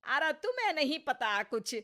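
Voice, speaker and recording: A woman's voice: high voice, big pitch swings, normal speed, pushed and bright, pitched above the performer's own voice, full character voice. A woman voicing a scolding, sarcastic mother-in-law in her sixties for an acted moral story. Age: 60s. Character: scolding, nagging sixty-year-old Indian mother-in-law.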